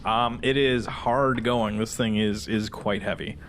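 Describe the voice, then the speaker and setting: A man's voice: lowish voice, fairly even intonation, unhurried, fairly bright tone. A man acting as game master, narrating casually and often searching for his words. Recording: noisy room recording.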